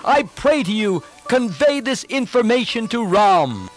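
A man voicing a noble, courageous demon prince who declaims calmly, clearly and earnestly in an epic story. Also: very high voice, unhurried, soft background music, voice pushed up, exaggerated voice. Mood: earnest, resolute.

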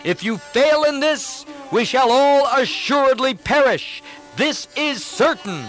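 A man's voice: extremely high pitch, lively intonation, takes it slowly, fairly bright tone, soft background music, pitched above the performer's own voice, exaggerated voice, poor audio. A man voicing an earnest, wise royal brother who pleads with the king in a storybook reading. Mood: pleading.

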